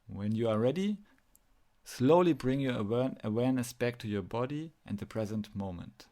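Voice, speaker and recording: A man narrating a guided meditation, speaking in measured phrases with pauses as he gives instructions. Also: mid-range voice, warm tone.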